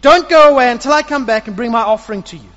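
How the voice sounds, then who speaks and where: A man's voice: very high-pitched, fast talker, a bit bright, pitched above the performer's own voice. A man voicing a fearful, doubting young male farmer who pleads anxiously, retold in a sermon. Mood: pleading. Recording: dull lo-fi with long hall reverb.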